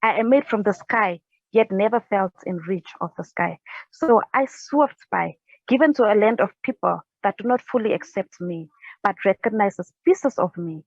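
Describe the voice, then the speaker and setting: A young woman from South Africa reciting her own spoken word poem at home on a couch, earnest and halting, with restarts, into an earphone mic. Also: mid-range voice, expressive, darkish tone, dry recording. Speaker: poet. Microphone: earphone headset mic. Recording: dry, dull lo-fi sound.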